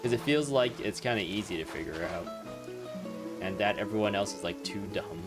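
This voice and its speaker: A man with a fairly low voice thinks out loud as he comments on a video game. He is casual and wry, often amused as he rambles. Game music plays clearly behind him. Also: animated, fairly quick. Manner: wry, amused, chuckles while musing. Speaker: let's play streamer commenting on a game. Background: video game music and dialogue.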